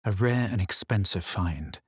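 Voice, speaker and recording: Haughty, self-assured fantasy adventurer. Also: male voice, sits low, lively intonation, no echo, studio quality, full character voice. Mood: brooding, composed. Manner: measured, crisp, superior. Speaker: video game player character. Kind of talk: scripted game voice lines, narration and spell incantations.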